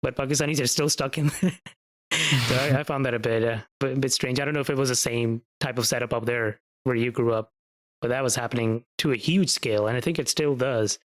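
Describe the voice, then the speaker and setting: Relaxed man hosting a chat. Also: mid-range voice, a little fast. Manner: relaxed, chuckles, frequent mm-hmm backchannels. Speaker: host. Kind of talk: casual conversational interview.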